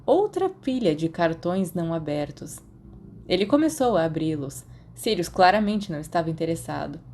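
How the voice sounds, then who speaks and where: Brazilian woman narrating, slightly low voice. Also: sing-song, normal speed, a little mellow, a little hiss or hum. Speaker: audiobook narrator of fan fiction. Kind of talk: scripted narration of a story.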